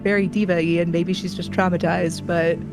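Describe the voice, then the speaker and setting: A woman's voice: on the low side, little pitch movement, normal speed, rough recording. A woman in casual out-of-character chat as a player in a tabletop role-playing game. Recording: music playing behind the voice. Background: music.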